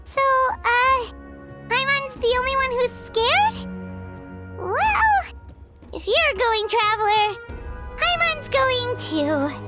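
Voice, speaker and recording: A woman's voice: very high-pitched, big pitch swings, takes it slowly, pauses often, music in the background, exaggerated voice. A woman voicing a small, childlike fairy companion in a video game, timid but loyal, with a hesitant way of talking. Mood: timid, worried. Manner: hesitant, speaks of self in third person. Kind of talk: video game character acting.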